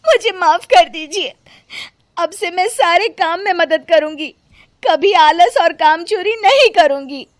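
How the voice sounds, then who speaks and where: Woman doing a high, plaintive, lazy young wife. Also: unhurried, dry recording, clean studio sound. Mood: pleading. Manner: wailing, pleading, melodramatic. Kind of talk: Hindi cartoon story dialogue.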